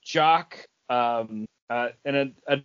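A man with a mid-pitched voice talks casually as a podcast co-host. His delivery is conversational and informal. The recording is slightly dull and lo-fi. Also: slow, a bit bright, clear, resonant tone. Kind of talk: casual comics podcast chat.

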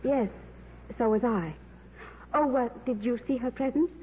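A woman's voice: on the high side, measured pace, narrow, tinny audio, close to an everyday voice. A woman playing a kindly, solicitous young airline stewardess in a 1950s radio drama. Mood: gentle, concerned. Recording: thin noisy 1950s radio recording, slightly reverberant.

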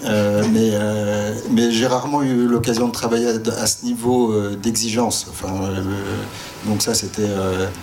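A man, a sound designer on a panel, speaking informally and haltingly, repeating words as he searches. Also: on the low side, fairly even intonation, a little mellow. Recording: clear ambient background noise.

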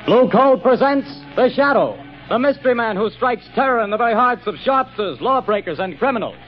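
A man announcing in emphatic, formal 1930s radio style, delivering a scripted sponsor pitch. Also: expressive, brisk. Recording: old radio, muffled, music behind.

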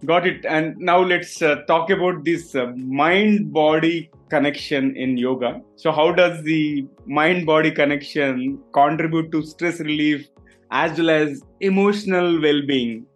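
A man with a somewhat high voice hosts an interview. He asks his questions haltingly, filling the gaps with small hesitations, and nods along with short affirmations. Faint music sits behind him. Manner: hesitant, many fillers, affirming. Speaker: podcast host.

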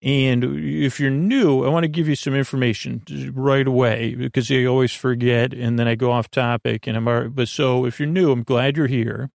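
Creaky, rambling man hosting a podcast. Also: medium pitch, fairly even intonation, a little mellow, no room sound, clean studio sound. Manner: meandering, halting, self-interrupting, repetitive. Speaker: podcast host. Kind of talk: rambling bedtime podcast monologue.

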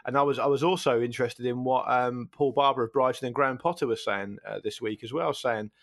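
A man's voice: mid-range voice, fairly quick, no room sound. A man giving conversational football punditry, speaking in an emphatic, discursive way close to the microphone. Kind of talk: conversational football analysis and banter.

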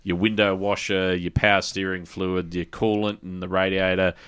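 An Australian man hosting a gaming podcast, chatting casually and haltingly with plenty of swearing and colloquial turns. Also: low voice, level tone, a bit bright, clear, resonant tone. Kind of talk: casual chat.

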